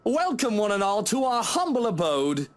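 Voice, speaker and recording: An actor playing a smug, hot-tempered rich host in a video game, with a pompous, theatrical delivery. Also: male voice, sits high, sing-song, normal speed. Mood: grandly welcoming.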